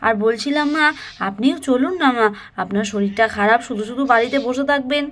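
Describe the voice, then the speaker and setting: A woman's voice: a little high, brisk, fairly bright tone, slight background noise, natural voice. A woman voicing a chatty, eager young village housewife in a doll cartoon, exclaiming and coaxing in a sing-song way.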